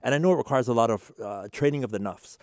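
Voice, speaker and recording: A man's voice: medium pitch, fast, a little mellow, no echo, clean studio sound. A man hosting a casual interview, informal, working his way toward questions through examples and check-in phrases. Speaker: interviewer, host.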